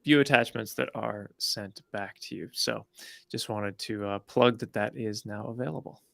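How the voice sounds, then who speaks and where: A man co-presenting software updates, explaining features informally in a measured, explanatory way. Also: lowish voice, vocal fry, no room sound.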